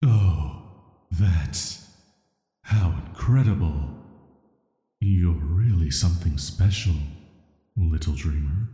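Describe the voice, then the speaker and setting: Very deep, slow cursed villain played by a man. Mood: calm, admiring. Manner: soft, flat, soothing, unhurried, intimate. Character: ancient cursed male villain, sealed away, softly flirty, dangerous. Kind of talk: slow atmospheric sleep-aid roleplay audio. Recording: echoey, long reverb.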